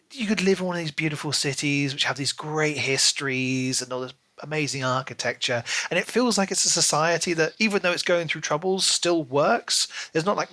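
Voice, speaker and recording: A man's voice: fairly high pitch, pushed and bright. A man co-hosting a podcast, casually discussing books in a chatty, hedging way with frequent filler phrases. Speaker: podcast co-host.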